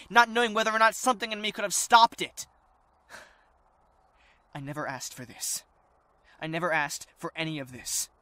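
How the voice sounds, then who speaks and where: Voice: mid-range voice, pushed and bright, lightly acted. A performer playing a defiant, wounded teenage boy in an earnest, insistent zombie apocalypse audio drama. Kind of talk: dramatic audio acting for visual-novel fan series.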